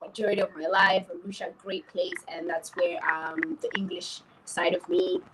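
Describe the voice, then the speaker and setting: A woman's voice: lowish voice, steady tone, normal speed, background noise. A woman from Tanzania and Cameroon, a French speaker, talking casually about herself in an interview. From grew up in Tanzania, born in Cameroon. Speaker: podcast host, journalist and entrepreneur.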